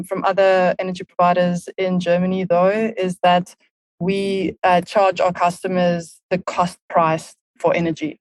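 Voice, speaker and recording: South african woman on a podcast, lowish even voice, lots of ums, dry sound. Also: no echo, rough recording. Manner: explains at length with frequent "um" fillers. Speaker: podcast guest, marketing lead. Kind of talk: casual interview talk.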